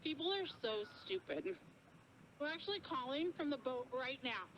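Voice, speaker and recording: A smug, chatty beachcomber phones in to a radio show to brag, played by a woman. Her voice sits high, and a steady background noise hangs under the line. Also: normal speed, poor audio. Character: boastful young woman beachcomber calling in. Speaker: caller.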